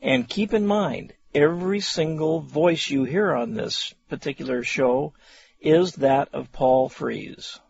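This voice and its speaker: A man's voice: fairly high pitch, slow, vocal fry, a little mellow. A man hosting a program of old radio, introducing material to listeners in an informal, talking style. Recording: slightly dull, muffled audio.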